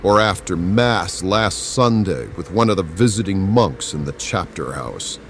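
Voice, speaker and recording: A man's voice: deep voice, lively intonation, unhurried, a bit bright, a little hiss or hum, exaggerated voice. A man voicing a menacing, arrogant medieval cardinal in an audiobook, speaking in a commanding, pompous way. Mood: accusing. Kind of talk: dramatic audiobook character acting.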